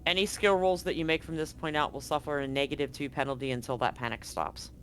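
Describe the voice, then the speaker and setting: A man narrating a tabletop horror game as game master, in a relaxed, descriptive way. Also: a little high, quick pace, slight background noise.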